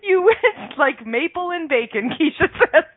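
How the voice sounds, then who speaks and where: A woman's voice: extremely high pitch, animated, measured pace, dry recording. A bubbly, giggly woman from Canada hosting a casual chat interview. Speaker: host, interviewer. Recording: narrow, phone-like line.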